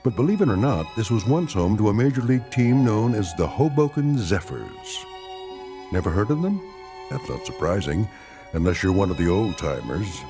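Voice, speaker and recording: A man giving measured, ominous scripted narration for a radio drama. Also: lowish voice, very animated, over music.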